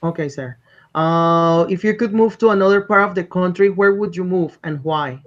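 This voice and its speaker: A man conducting an English speaking exam over a video call, polite and measured, repeating his instructions. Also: sits high. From Colombia. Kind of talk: structured spoken exam interview. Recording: dull lo-fi call audio.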